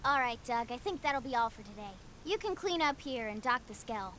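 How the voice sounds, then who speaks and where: High, lively, chatty teen girl mechanic. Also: moderate pace, slight background noise. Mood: breezy, cheerful. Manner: breezy, casual, eager. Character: perky, chatty thirteen-year-old girl mechanic. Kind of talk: video game cutscene acting. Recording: loud music under the dialogue.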